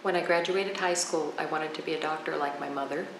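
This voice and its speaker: A woman's voice: deep voice, a little fast, echoey room, background noise. A woman artist from Nigeria, a former doctor, giving a matter-of-fact account of her life. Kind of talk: personal statement.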